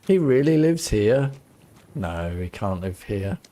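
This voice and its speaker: A man's voice: on the low side, big pitch swings, slow pace, warm tone. A man giving casual playthrough commentary as a game streamer, muttering and grumbling at the game.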